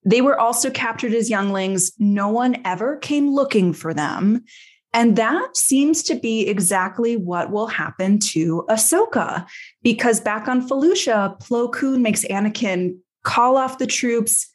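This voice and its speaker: A woman's voice: on the high side, unhurried. A woman podcast host recapping an episode in casual chat, with a playful, slangy way of telling a story.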